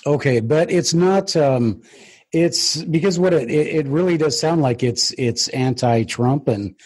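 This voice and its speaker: A man casually asking questions as an interview host, hesitant and pausing often with ums. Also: fairly high pitch, a little mellow, breathy edge.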